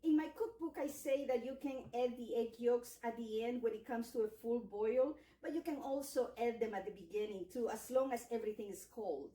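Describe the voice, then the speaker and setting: A woman's voice: a little high, brisk, hardly any pauses, warm tone, echoey room, recorded from a distance, low-quality recording. A middle-aged woman from El Salvador, a cookbook author teaching a cooking class with enthusiastic explanations and some fillers. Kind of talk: cooking demonstration.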